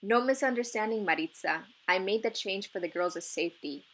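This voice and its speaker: A woman's voice: medium pitch, moderate pace, fairly bright tone, close to an everyday voice, poor audio. Woman voicing a hearty, cautious soccer coach man. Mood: defensive. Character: Mister Ramos, friendly but cautious male soccer organizer.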